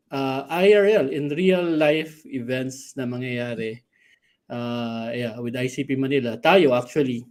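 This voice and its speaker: Higher-voiced man hosting in loose chat. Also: a little mellow. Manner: rambling, mixes Tagalog and English, many fillers. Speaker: co-host. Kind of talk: casual livestream talk.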